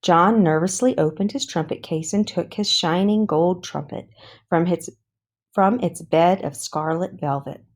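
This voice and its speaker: A woman narrating a children's book aloud in a careful, deliberate read-along style. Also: fairly low pitch. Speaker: storybook reader.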